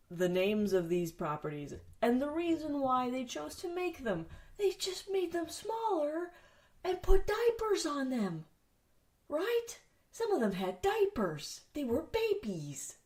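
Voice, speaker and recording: A woman with a nasal, stuffed-up voice, sniffly as she comes down with a cold. She rambles through her solo podcast in a chatty, self-mocking way, swears now and then, and breaks into loud exasperated bursts. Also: medium pitch. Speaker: podcast host. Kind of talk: solo casual podcast chat. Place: home basement studio.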